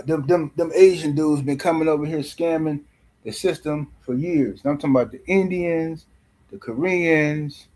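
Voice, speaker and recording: Male stream host, slightly high-pitched, loose teasing delivery, rambling casual talk. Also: a little mellow. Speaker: boxing livestream host. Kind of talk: casual live-stream commentary.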